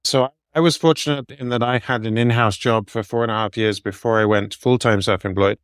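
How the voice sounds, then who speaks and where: A middle-aged man answering interview questions in a measured, explanatory way, laying out his advice point by point. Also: medium pitch, fairly even intonation, clean tone, no room sound, clean studio sound. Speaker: guest, medical translator.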